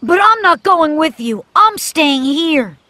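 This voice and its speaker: A woman voicing a headstrong, emotional young boy in a children's cartoon, childlike and expressive. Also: high-pitched, takes it slowly, full character voice. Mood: taunting, angry.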